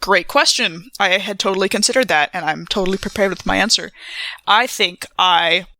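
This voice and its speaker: A woman's voice: medium pitch, normal speed, pushed and bright, slight background noise, rough recording. A woman chatting casually and improvising as a player in a tabletop roleplaying game. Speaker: player in an actual-play podcast.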